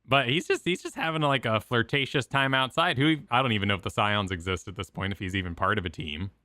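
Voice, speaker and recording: Male co-host, bright, close dry sound, casual joking commentary, faint music. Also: mid-range voice, fast talker, no room sound. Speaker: streamer co-host explaining game cutscenes. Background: faint game music.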